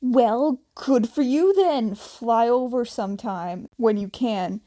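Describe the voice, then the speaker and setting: A woman doing a loud, brash teenage boy. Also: a little high, takes it slowly. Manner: blunt, teasing.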